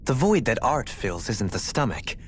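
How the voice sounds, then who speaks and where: An actor plays a refined, cultured scholar who speaks in a formal, articulate, lecturing manner. His pitch moves lively, with faint noise behind. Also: moderate pace, lightly acted.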